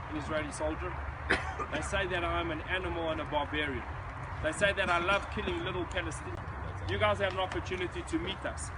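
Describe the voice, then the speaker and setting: South African man, high voice, noisy outdoors. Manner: earnest, emphatic, acts out quoted shouting. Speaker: speaker addressing a visiting group. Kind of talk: motivational speech.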